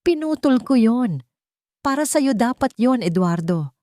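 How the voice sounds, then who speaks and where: A woman's voice: a little high, sing-song, a little slow, warm tone, no echo, clean studio sound, voice pushed up. A woman voicing a villainous male lawyer in an audio story, agitated, threatening and gloating. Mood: bitter gloating. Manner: menacing, agitated.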